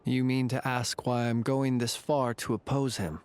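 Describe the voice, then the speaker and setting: A courteous, secretive gentleman of a fantasy video game, acted by a man. He speaks at a middle pitch in formal, measured phrases with dry irony. Faint noise sits behind him. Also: steady tone, natural voice. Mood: candid, measured. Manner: formal, measured, dryly ironic. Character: courteous, wry, secretive male lantern-bearing Lightkeeper.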